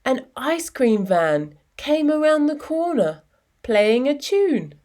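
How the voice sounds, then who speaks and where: A woman reading a children's picture book aloud in an expressive, deliberate storytelling manner with dramatic pauses. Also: sits high. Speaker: storytime reader.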